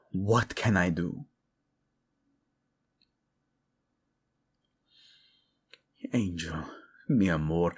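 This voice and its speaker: A man acts out a devoted prince, protective and tender with his beloved. His voice is husky and moves slowly, with wide, expressive swings in pitch. The phrasing is formal yet intimate, sprinkled with Spanish endearments, in the style of a romantic audio drama. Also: full character voice. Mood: concerned, pleading. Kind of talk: romantic audio roleplay acting.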